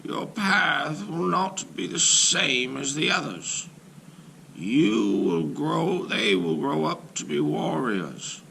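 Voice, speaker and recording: A man voicing a wise old shaman in a children's folk tale, speaking slowly and solemnly with reassurance. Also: on the high side, lively intonation, creaky, bright, forceful tone, a little hiss or hum, voice pushed up, full character voice. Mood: solemn, reassuring. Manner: slow, drawn-out, solemn delivery.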